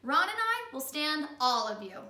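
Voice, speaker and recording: A woman's voice: high-pitched, very animated, normal speed, bright, forceful tone, roomy sound, voice pushed up, heavily acted. A woman voicing a fair-minded, firm male playground helper in a children's story, emphatic in delivery. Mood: firm, upbeat.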